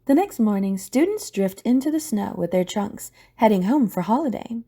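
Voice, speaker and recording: A woman's voice: medium pitch, expressive, normal speed, dark tone, husky. A woman narrating an audio drama from a script as a storyteller.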